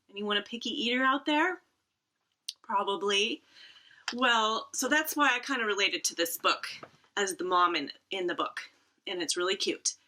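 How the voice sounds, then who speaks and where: A middle-aged woman speaks at an unhurried pace, as a librarian does at storytime. Her pitch sits in the middle range for a woman. She addresses children directly and leans on key words with expressive phrasing. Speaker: school librarian reading aloud to children. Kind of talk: casual chat and read-aloud storytelling for children. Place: at home.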